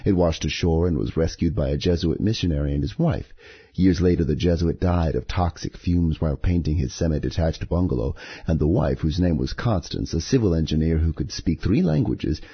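A man hosting a poetry podcast, reading scripted material in a measured, deliberate way with a husky voice. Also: a bass voice, animated, highs cut off. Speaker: podcast host and poetry reader.

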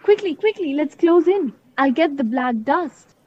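A woman's voice: sits high, expressive, lo-fi sound. A woman acting in an audio drama as a confident leader coolly instructing her partner on a covert exercise. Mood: focused, urgent. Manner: instructing, deliberate, commanding.

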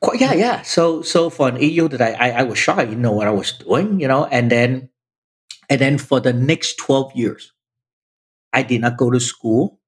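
Man in his fifties, native Chinese speaker, husky voice, emphatic storytelling, podcast guest. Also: medium pitch, lively intonation, normal speed. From Vietnam. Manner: emphatic, addresses host directly. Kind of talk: personal storytelling in conversation.

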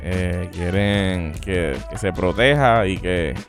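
A man with a Puerto Rican accent co-hosting a casual sports podcast, teasing his partner and often repeating himself in quick denials. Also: sits low, faint music behind. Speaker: podcast co-host.

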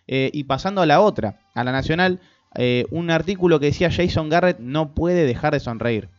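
A man's voice: fairly high pitch, normal speed. A man co-hosting a casual sports podcast, reading statistics steadily with frequent "eh" fillers, with an Argentine Spanish accent. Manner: lists numbers steadily with many fillers. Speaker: podcast co-host. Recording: muffled lo-fi podcast audio.

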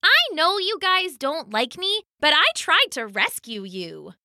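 A woman plays an earnest young girl with a high, bright voice, eager and a little pleading. It sits close to the mic in a dry space. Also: very animated, measured pace, dry recording, heavily acted.

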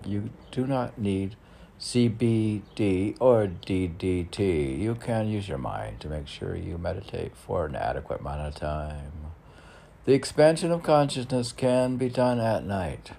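A middle-aged man reads his own poems in a very low, creaky voice. He takes his time, declaiming lines and then muttering asides to himself, with faint noise in the recording. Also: animated, measured pace, warm tone. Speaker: poet. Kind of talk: reading own poetry with off-the-cuff asides.

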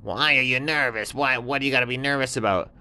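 A man's voice: mid-range voice, quick pace, sharp tone, smooth, pitched above the performer's own voice. A man voicing a small, chatty, cheeky scorpion with an informal, insistent way of talking in a children's story. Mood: casual, helpful.

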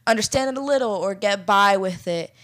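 A woman's voice: medium pitch, brisk, a bit bright. A young American woman co-hosting a podcast, talking earnestly and reflectively in a casual way, with lots of "like" fillers. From United States. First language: English. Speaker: podcast co-host. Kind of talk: casual conversational chat.

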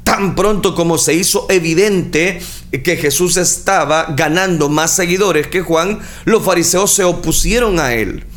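A man preaching a sermon, speaking earnestly and addressing the listeners directly, with frequent pauses and fillers. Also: fairly high pitch, a bit bright. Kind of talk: sermon on scripture.

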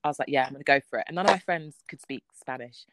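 Low-voiced young woman, chatty and laughing. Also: rapid-fire, fairly bright tone, dry recording. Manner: laughs often, chatty storytelling. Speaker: guest. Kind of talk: casual chat.

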